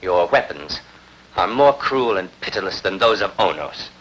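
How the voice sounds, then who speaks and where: A man's voice: low voice, lively intonation, moderate pace, fairly bright tone, dull audio. A man playing a proud, defiant captive and bitter lover in a dubbed historical epic film, speaking intensely and theatrically. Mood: bitter, anguished.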